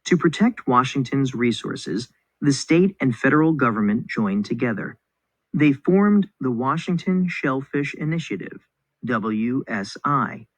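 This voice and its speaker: A man reads scripted educational material as a narrator, in a clear instructional manner. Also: mid-range voice, expressive, a little slow, no echo, rough recording. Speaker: narrator reading a textbook passage. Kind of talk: scripted educational read-aloud.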